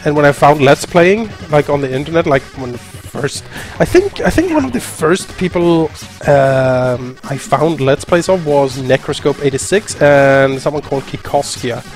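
A man's voice: fairly high pitch, expressive, normal speed, hardly any pauses. A man with a Swedish accent, English not his first language, chatting casually and rambling with a self-mocking tone while co-hosting a game let's play. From Sweden. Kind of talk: casual gaming commentary. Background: video game music.

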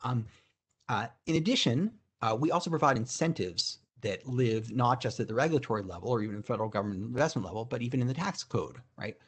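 A middle-aged man with a somewhat breathy, husky tone answers audience questions as a keynote speaker. He is on a video call from a room at home and talks in a thoughtful way, restarting phrases and filling pauses with "you know". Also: medium pitch, expressive. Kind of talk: conference Q&A answer.

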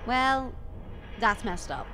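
A woman's voice: on the high side, very animated, slow, fairly bright tone, a little hiss or hum, heavily acted, rough recording. A woman playing a frank, plucky time-travel companion in an audio drama, speaking in a blunt, informal way. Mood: frank, questioning. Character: the Doctor's frank, plucky female companion from Earth.

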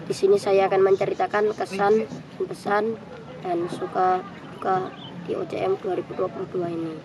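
A boy of about twelve or thirteen, giving an earnest, slightly halting prepared testimonial to camera as a student scout. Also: high-pitched, level tone. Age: young teenager, about 12 to 13. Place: outdoors in a hillside camp garden. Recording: clear outdoor ambient noise.

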